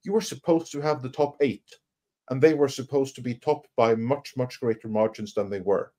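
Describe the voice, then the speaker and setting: A man giving emphatic, critical commentary on a live panel, often halting and repeating words as he goes. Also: mid-range voice, mellow.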